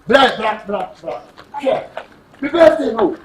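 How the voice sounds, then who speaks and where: A man with a Ghanaian accent acting in a comic sketch, talking loudly and playfully. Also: very high-pitched, big pitch swings, unhurried, background noise. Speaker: comedic actor.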